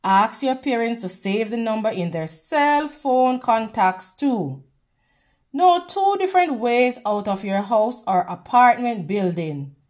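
Female children's read-aloud host, slightly high pitch, unhurried teacherly delivery. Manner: teacherly, prompting children with questions. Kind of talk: children's picture book read-aloud with questions to listeners.

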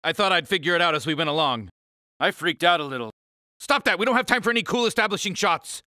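A man voicing a grumpy, uptight, pompous alien spaceship leader in an animated cartoon game, exasperated and emphatic. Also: high voice, fast, no room sound, studio quality, heavily acted.

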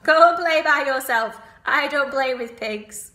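Stuck-up storybook lamb, cold and haughty, loud and sharp, voiced by a woman. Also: high-pitched, unhurried, reverberant, voice pushed up. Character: snooty young lamb, dismissive.

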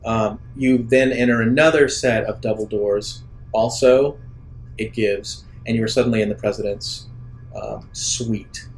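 A man acts as game master for a tabletop roleplaying session. His voice sits at a middle pitch, and he lays out each scene deliberately, often pausing midway through a description. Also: steady tone. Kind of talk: improvised narration of a tabletop sci-fi roleplaying game.

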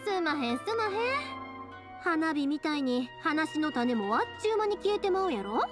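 An actress playing a cheerful, talkative young fireworks maker in an anime game, speaking with a Kansai accent. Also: female voice, high-pitched, moderate pace, music in the background. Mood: enthusiastic. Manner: talkative, friendly, animated. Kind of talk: anime video game voice acting.

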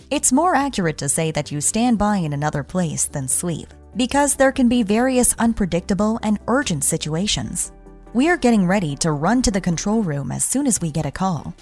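A woman reading scripted explainer narration in a measured, instructional way. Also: medium pitch, darkish tone. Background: background music.

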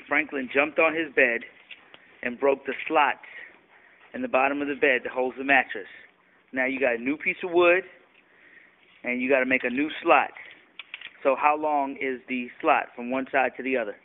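A man's voice: medium pitch, fairly even intonation, normal speed, pauses often. A father patiently coaching his son through a repair job at home, filmed on a handheld camera. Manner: patient, coaching, giving short instructions. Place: indoors at home. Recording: tinny, lo-fi, faint noise.

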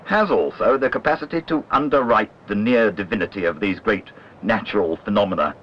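Guy narrating an old documentary, measured and wry, low voice, noisy muffled film sound. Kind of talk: scripted literary documentary narration. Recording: dull lo-fi old film soundtrack, clear noise.

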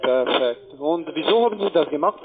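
A man's voice: a little high, expressive, moderate pace. A man working as a call-centre agent, making a sales call over the phone. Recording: muffled, narrow-band phone line.

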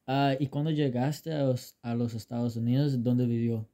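Young man, very dark soft-edged tone. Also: mid-range voice, steady tone, moderate pace. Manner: hesitant, careful learner's Spanish. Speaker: interviewer. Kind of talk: simple interview questions.

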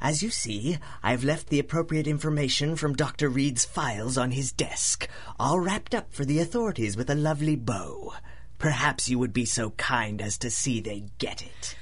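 An actor playing a vengeful, grandiose supervillain in a radio drama, speaking with theatrical, deliberate menace in a husky voice. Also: male voice, mid-range voice, natural voice. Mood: smug menace. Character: vengeful male supervillain, grandiose, bitter, unhinged. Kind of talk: 1930s-style radio drama acting.

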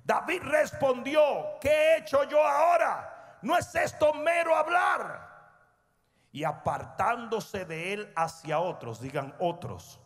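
A middle-aged male pastor preaching a sermon on a large church stage, swinging from hushed asides to shouted peaks and calling on the crowd to answer. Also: extremely high pitch, big pitch swings, slow. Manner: prompts the crowd for responses. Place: large church stage before a seated audience. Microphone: handheld microphone. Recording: long hall reverb with faint music.

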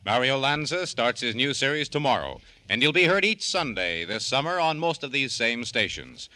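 A man's voice: mid-range voice, big pitch swings, normal speed, sharp tone, no room sound. A man working as a network announcer in the formal style of mid-century American radio. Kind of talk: 1940s-50s radio announcing.

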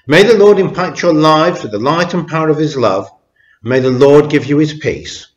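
Higher-voiced middle-aged minister in an echoey church. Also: male voice. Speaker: church minister leading a service. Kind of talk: church notices and spoken blessing to camera. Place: inside a stone church. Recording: echoey stone church interior.

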